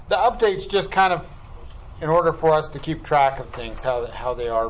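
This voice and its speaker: A man chairing a public meeting, running through agenda items in a relaxed way with many fillers. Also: medium pitch, slight background noise, poor audio. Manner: relaxed, filler-heavy, loosely worded. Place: meeting room.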